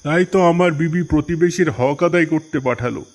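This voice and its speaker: A man's voice: a little high, fairly quick. A man voicing a generous neighbour in a cartoon, polite and deferential in manner. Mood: polite, friendly. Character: courteous, generous male neighbour bearing gifts. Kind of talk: animated cartoon dialogue. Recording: music behind the voice.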